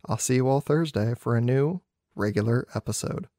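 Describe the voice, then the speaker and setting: A man's voice: mid-range voice, normal speed, dark tone. A man who hosts a podcast, talking casually and narrating a story. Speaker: podcast host.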